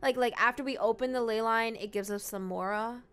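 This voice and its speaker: A woman's voice: fairly high pitch, fairly even intonation, fairly quick, fairly bright tone, clear, resonant tone. A woman streaming a game, giving casual reaction commentary and thinking aloud in an informal, rambling way.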